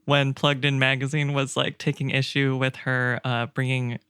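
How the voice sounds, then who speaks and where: A man chatting casually as a podcast guest, telling stories in a halting, hesitant way. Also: medium pitch, level tone, a bit bright, smooth, no echo, studio quality.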